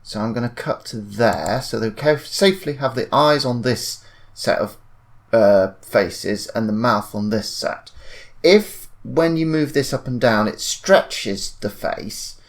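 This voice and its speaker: A man narrating a software tutorial in a casual, informal way, thinking aloud as he demonstrates. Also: medium pitch, slow pace. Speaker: tutorial presenter. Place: indoors at a computer, screen-recording voice-over.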